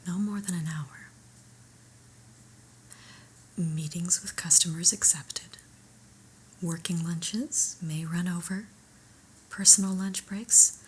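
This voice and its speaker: A whispering female boss, acted by a woman in an ASMR roleplay, stern and unimpressed. Her breathy delivery is very slow and slightly low, with dry, officious emphasis. There is faint noise behind her. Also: low-quality recording.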